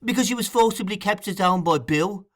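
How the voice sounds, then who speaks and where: A man's voice: high-pitched, fairly quick, pitched above the performer's own voice, lightly acted. A man voicing a sleepy, sulky young male informer in an audiobook, talking in a grudging, plain way. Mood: matter-of-fact. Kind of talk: audiobook character acting.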